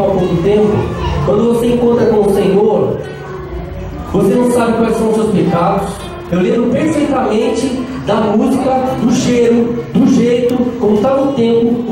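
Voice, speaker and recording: High-voiced Brazilian preacher in echoey hall. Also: male voice. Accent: Brazilian Portuguese. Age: 30s. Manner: lively, playful, calls out to the crowd. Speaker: lay preacher. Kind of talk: live charismatic sermon. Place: large echoey prayer-group hall, live event. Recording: very echoey hall, distant mic, music behind.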